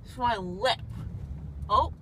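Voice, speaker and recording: A woman's voice: a little high, slow, pushed and bright. A young woman, groggy and numb-mouthed after dental surgery, rambling sillily and slipping into sing-song bits from a car's passenger seat. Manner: silly, slurred, breaks into sing-song. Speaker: patient after wisdom teeth removal. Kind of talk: loopy rambling chat. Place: passenger seat of a moving car. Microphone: phone camera held by driver. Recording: car road noise behind.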